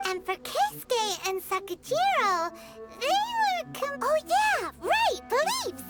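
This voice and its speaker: A tiny chatty fairy sidekick, very high pitched, bouncy sing-song delivery, loud music behind, game cutscene. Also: female voice, wildly swooping pitch, takes it slowly, heavily acted. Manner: talks about herself in the third person; bubbly and eager. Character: tiny floating female fairy companion, chatty and excitable.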